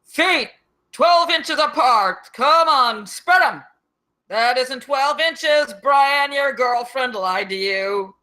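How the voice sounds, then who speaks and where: A woman's voice: high voice, expressive, slow, bright, forceful tone, heavily acted, low-quality recording. A woman playing a harsh drill-sergeant captain, barking commands in a screenplay table read.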